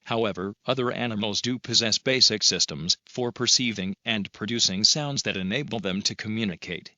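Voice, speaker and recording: A man reads a scripted test passage aloud in a lowish, strikingly sharp and forceful voice. The recording is dry and a little dull. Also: moderate pace, no room sound. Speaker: reader of English test practice passages.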